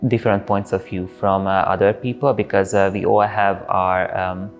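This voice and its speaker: A man's voice: sits low, little pitch movement, normal speed, soft background music. A young man from Hungary answering interview questions thoughtfully, with hesitations. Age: young adult. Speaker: program participant.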